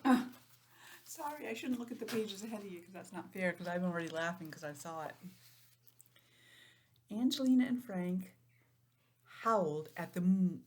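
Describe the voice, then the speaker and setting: A woman's voice: lowish voice, normal speed, pauses often. Woman reading to children, noisy recording. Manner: animated, simple explaining to young children. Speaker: teacher reading aloud to children. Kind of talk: storybook read-aloud with chatty asides.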